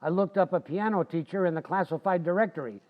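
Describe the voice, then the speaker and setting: A man's voice: sits high, quick pace, close to an everyday voice. A man playing a boastful, folksy, wisecracking husband in the style of old-time radio comedy. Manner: folksy, cocky, joking. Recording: dull lo-fi, reverberant hall.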